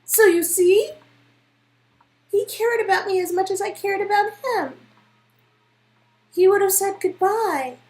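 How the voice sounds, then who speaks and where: A woman's voice: very high voice, measured pace, long pauses, a little mellow, echoey room, low-quality recording. A woman playing a distraught young woman, insistent and emphatic, in an amateur reading of game dialogue. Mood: pleading, insistent. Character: distraught, frantic young woman obsessed with her vanished boyfriend.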